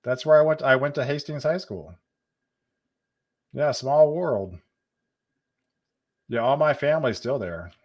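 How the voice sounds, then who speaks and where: A middle-aged man chatting casually on his weather livestream. His voice sits at an ordinary middle pitch. He restarts phrases and repeats words, often with a little chuckle. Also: lively intonation, measured pace, long pauses, a little mellow. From Texas, USA. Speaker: weather channel host.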